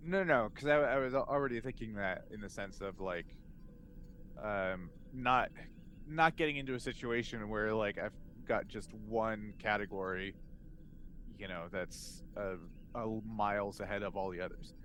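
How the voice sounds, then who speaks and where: A man's voice: on the low side, normal speed, a bit bright. Casual man playing a tabletop game, faint noise. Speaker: player in a tabletop roleplaying game.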